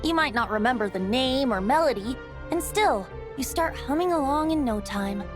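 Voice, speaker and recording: Genial, lyrical lady for a game, high register, expressive melody, courteous delivery. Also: normal speed, music in the background. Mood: reflective. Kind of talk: video game voice acting.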